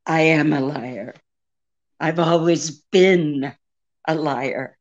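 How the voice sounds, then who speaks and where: Woman playing a slow-spoken, weary femme fatale. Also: low voice, dull audio. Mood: weary, remorseful. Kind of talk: 1940s radio drama acting.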